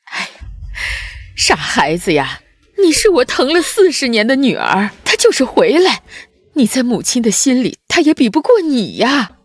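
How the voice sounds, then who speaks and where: A doting middle-aged noble mother in a costume drama. Her voice is husky and moves widely in pitch. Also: big pitch swings, unhurried, pushed and bright, dry recording, clean studio sound, exaggerated voice. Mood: tender, emotional.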